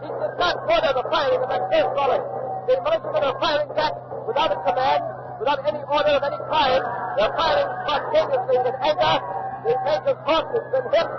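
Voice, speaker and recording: High-pitched urgent radio war reporter. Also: male voice, fairly even intonation. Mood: alarmed, urgent. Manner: urgent, halting eyewitness reporting. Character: urgent male radio war reporter, breathless eyewitness at a battle. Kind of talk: 1940s radio acting, live on-the-spot news commentary. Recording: muffled old radio recording, loud battle noise.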